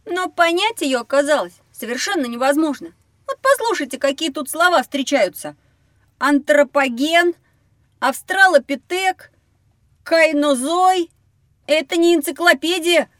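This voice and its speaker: An actress playing a curious, outspoken school-age child in an educational audio drama, with expressive delivery. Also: female voice, high voice, a little slow, dry recording, studio quality. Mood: puzzled, complaining.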